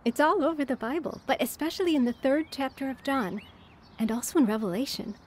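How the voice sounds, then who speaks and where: High-voiced, kindly devout neighbor played by a woman. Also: a little fast, slight background noise, lightly acted. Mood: assured. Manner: gentle, assured. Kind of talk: radio drama acting.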